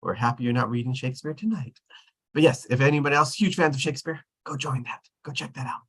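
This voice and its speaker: A man's voice: mid-range voice, steady tone, fast, fairly bright tone. A man hosting an online get-together on a video call, introducing guests in casual chat. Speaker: host.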